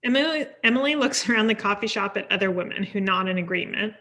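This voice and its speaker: Woman reading stage directions, bright forceful voice. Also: mid-range voice, lively intonation, fast. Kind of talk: scripted screenplay narration at a table read.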